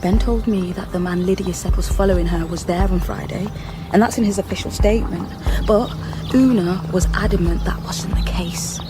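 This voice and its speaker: A woman's voice: on the low side, natural voice. Actress as a careful undercover policewoman, music behind. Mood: brisk, intent. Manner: careful, questioning, measured. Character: guarded undercover policewoman posing as a nanny, probing. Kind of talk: naturalistic radio drama acting.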